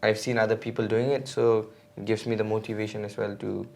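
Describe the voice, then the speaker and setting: A man's voice: fairly low pitch, flat delivery, fairly quick, gravelly, darkish tone. A man, a guest on a podcast, talking casually and hesitantly with many fillers and restarts. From India. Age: 20s. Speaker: podcast guest, mountain bike racer.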